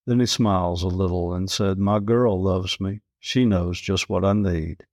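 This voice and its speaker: Man, deep voice, dry recording, folksy storytelling, colloquial. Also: unhurried, darkish tone, no echo. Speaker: story reader, farmer. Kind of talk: reading a first-person travel story.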